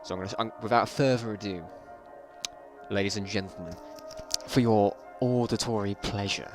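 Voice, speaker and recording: A man's voice: lowish voice, steady tone, moderate pace, over music. A man reading a story aloud in a mock-dramatic style, amused and breaking off into mocking asides. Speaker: reader of own old story.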